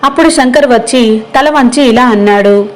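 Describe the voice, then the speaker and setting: A woman's voice: a little high, normal speed, poor audio. A woman storyteller reading a scripted moral tale as narrator.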